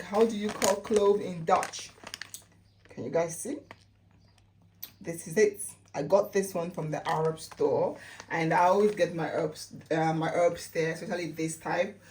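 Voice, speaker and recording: A woman with a Sierra Leonean accent talks in a fairly low voice, a little away from the microphone. She chats casually to her viewers like a lifestyle vlogger, often repeating words to stress a point. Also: darkish tone, recorded from a distance, slight background noise. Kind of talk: casual chatty home-remedy vlog.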